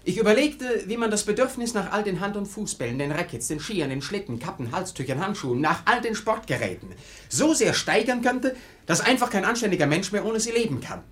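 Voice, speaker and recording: A man's voice: lively intonation, fairly quick, fairly bright tone. An actor playing a boastful, eager young grown son in a 1950s German radio drama, speaking like a salesman. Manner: eager, declamatory salesman's pitch.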